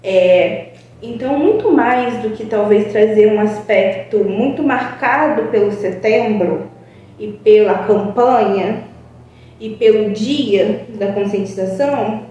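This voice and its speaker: A woman's voice: medium pitch, slow, dark tone. Earnest woman psychologist in an echoey room. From Brazil. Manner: earnest, reflective, many "é" fillers. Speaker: psychologist guest. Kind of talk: informal spoken talk to a web TV channel. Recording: echoey room, distant mic, faint music.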